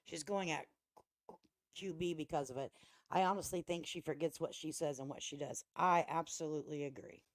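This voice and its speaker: A woman's voice: deep voice, normal speed. A woman hosting a casual reaction livestream, commenting in a folksy, sarcastic, mocking way. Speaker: livestream host.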